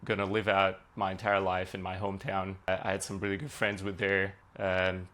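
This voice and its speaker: A man in his thirties, originally from Hungary, telling his story as a software engineer guest on a podcast, with plenty of fillers. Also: low-pitched, completely flat delivery, moderate pace. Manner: conversational with frequent uh and like fillers. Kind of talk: podcast interview, relaxed storytelling.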